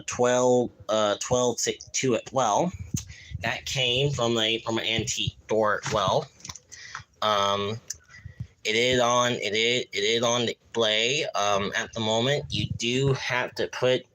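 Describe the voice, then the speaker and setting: A man casually presenting on a live stream in a halting, repetitive way, often losing his thread. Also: mid-range voice, sharp tone, smooth, poor audio. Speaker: live streamer showing a radio collection.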